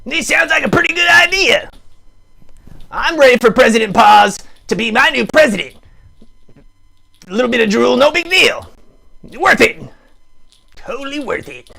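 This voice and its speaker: A man voicing an excitable, earnest puppet in a raspy voice, making emphatic speeches in a live improvised puppet show. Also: extremely high pitch, normal speed, long pauses, heavily acted. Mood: excited, earnest. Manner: emphatic, exclamatory, mock-campaign speech. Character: excitable puppet character, earnest champion of dogs. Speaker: puppeteer host.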